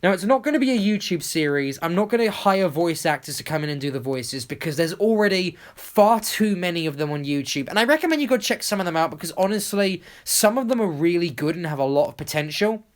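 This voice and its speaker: Casual YouTuber, mid-pitched voice, enthusiastic and rambling, informal voice-over delivery. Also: expressive, a little fast, hardly any pauses. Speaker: YouTube channel creator.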